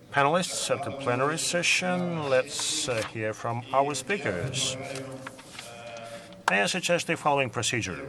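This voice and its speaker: A man moderating a conference session, speaking in a measured way with filler pauses. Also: mid-range voice. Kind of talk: formal moderating.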